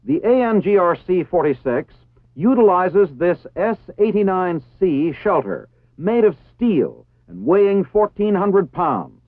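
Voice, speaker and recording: An American man narrates a vintage training film in a formal, precise voice. His pitch sits high and moves animatedly. The sound is dry and thin, like an old phone-band soundtrack. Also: very animated, measured pace, no echo, narrow, tinny audio. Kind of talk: formal scripted instructional narration.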